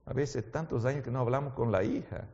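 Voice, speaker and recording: A man preaching a sermon in a language that is not his first one, as his native Portuguese slips in. He shifts between quiet, intimate talk and loud, fervent exhortation, and keeps asking his listeners questions. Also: medium pitch, brisk, long reverb tail, highs cut off, a little hiss or hum. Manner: asks the audience frequent questions.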